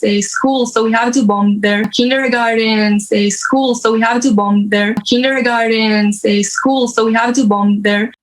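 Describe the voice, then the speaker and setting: A young woman giving an unscripted interview answer, halting as she searches for words. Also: on the high side, low-quality recording. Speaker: news interviewee, youth activist.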